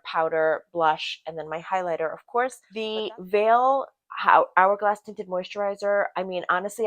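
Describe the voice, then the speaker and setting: A woman's voice: on the low side, dry recording. A woman from Brazil giving a casual product review to camera in a chatty, emphatic way, repeating words for emphasis. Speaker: beauty product reviewer and vlogger.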